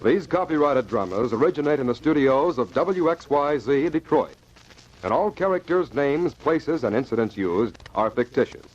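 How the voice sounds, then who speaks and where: A man's voice: mid-range voice, animated, normal speed. A man narrating and announcing an old-time radio adventure in a dramatic, scripted style. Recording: muffled, noisy old radio transcription.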